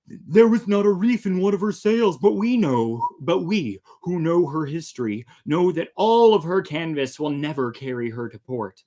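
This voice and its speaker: A man's voice: on the high side, lively intonation, moderate pace, hardly any pauses, mellow, pitched above the performer's own voice, close to an everyday voice. A man voicing an old-time pirate sailor who tells a sea yarn in a theatrical storybook reading. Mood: dramatic, foreboding.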